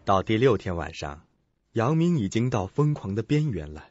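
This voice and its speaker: A man's voice: lowish voice, very animated, moderate pace, a little mellow, no room sound. A man narrating a scripted suspense radio drama in a dramatic, ominous storytelling manner. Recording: dull lo-fi recording with music bed.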